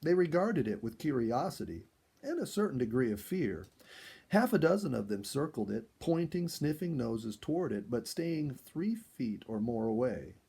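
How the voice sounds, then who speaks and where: A male storyteller with a husky, gravelly voice narrates an animal tale. His delivery is expressive and swings widely in pitch, as if acting out each turn of the story. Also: moderate pace. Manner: expressive, acts out dialogue and exclamations. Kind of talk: dramatic narrated animal story read aloud, first person.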